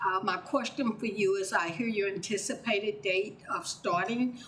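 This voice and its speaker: A woman's voice: mid-range voice, normal speed, fairly bright tone, slight background noise, rough recording. A woman council member asking direct, polite questions at a city council meeting.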